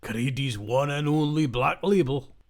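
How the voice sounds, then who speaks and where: A man's voice: mid-range voice, unhurried, lightly acted. A man playing a blunt, wry survivor and loyal friend in an amateur audio drama. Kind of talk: fan audio drama acting.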